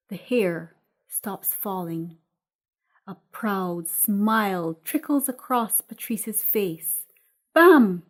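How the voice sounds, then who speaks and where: A woman reading a screenplay as dramatic scripted narration, expressive and deliberate in her storytelling. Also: mid-range voice. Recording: music under the voice. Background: music.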